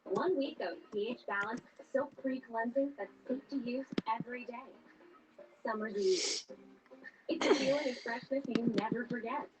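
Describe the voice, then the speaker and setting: A woman's voice: a little high, moderate pace, poor audio. A woman reading scripted advertising narration as a commercial announcer.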